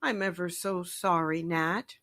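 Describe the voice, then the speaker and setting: A woman's voice: lowish voice, measured pace, a bit bright. A woman voicing a greedy, impatient young male goat in a children's story, whiny and exclaiming. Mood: miserable, confessing.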